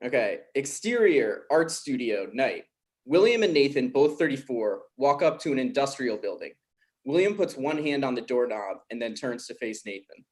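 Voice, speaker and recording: A man hosting a table read and narrating stage directions in an informal, somewhat halting way. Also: mid-range voice, sing-song, a little mellow.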